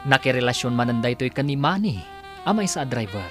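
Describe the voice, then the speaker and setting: A woman's voice: extremely low pitch, big pitch swings, fairly quick. A woman reading scripted narration as the announcer of a radio drama. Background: music.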